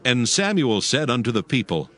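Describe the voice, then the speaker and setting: A man's voice: mid-range voice, big pitch swings, moderate pace, a bit bright. A man narrating dramatized scripture from a script in a formal, solemn storytelling manner. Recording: music bed behind the voice.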